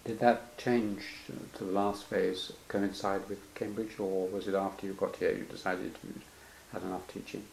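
A male interviewer with a very deep, gravelly voice who murmurs hmm often and asks probing follow-up questions. The recording is roomy, with a faint hiss in the background. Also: flat delivery, normal speed, few pauses. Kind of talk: oral history interview.

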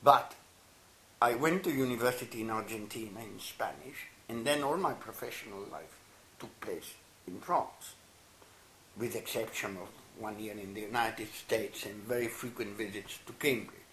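Older man in a roomy room. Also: on the low side. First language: Italian. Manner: halting and warm, stammers and restarts, laughs softly. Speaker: interviewee, anthropologist. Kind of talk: reflective interview answers. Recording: roomy echo, faint noise.

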